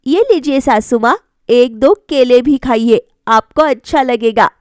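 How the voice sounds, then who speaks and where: A woman acts out a young cartoon daughter-in-law, caring and dutiful. The voice is high and unhurried, with polite, respectful phrasing. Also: lively intonation, no room sound, studio quality.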